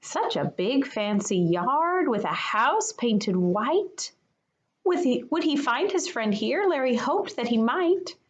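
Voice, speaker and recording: A woman, a kindergarten teacher, reading a children's picture book aloud in an expressive manner for young listeners. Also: high-pitched, slow, highs cut off, slight background noise.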